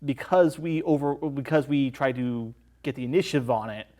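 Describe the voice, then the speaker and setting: A man's voice: medium pitch, normal speed, warm tone. Man chatting as a film show guest. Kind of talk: casual film discussion.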